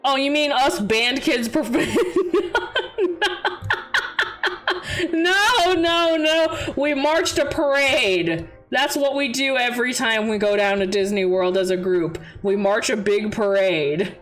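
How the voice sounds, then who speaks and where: A young adult woman chatting casually on a livestream as its host, expressive and giggly as she tells stories and answers viewers. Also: medium pitch, a little slow, hardly any pauses. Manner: giggly, animated storyteller with expressive exclamations. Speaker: VTuber streamer, host. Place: indoor livestream. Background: background music.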